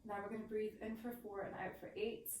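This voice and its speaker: A woman leads a gentle voice lesson in a soft, wandering way, her voice still low from the morning. She is heard from a little way off in a room with a clear echo. A faint hum of ambience sits behind her. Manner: soft, rambling and reflective, with many fillers. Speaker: singing and voice teacher. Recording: echoey room, mic at a distance.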